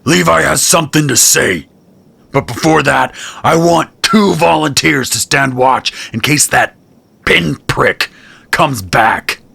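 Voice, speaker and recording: An actor playing a gruff, domineering, foul-mouthed enforcer among war conscripts in an audio drama, barking orders. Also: male voice, mid-range voice, slow, sharp tone, exaggerated voice. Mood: bossy, menacing.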